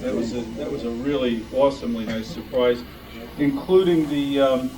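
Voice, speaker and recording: A man giving an informal show-and-tell talk as a club member, with a halting, rambling delivery. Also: medium pitch, fairly even intonation, far from the mic, music in the background. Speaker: club member presenting vintage items. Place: club meeting room. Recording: noisy room, picked up from afar.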